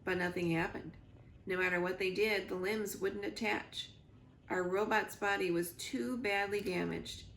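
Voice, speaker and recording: A woman reading a children's storybook aloud with expressive narration, recorded in a roomy living room. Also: mid-range voice, a little slow, creaky. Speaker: reader, school librarian or teacher. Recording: roomy echo, faint background noise.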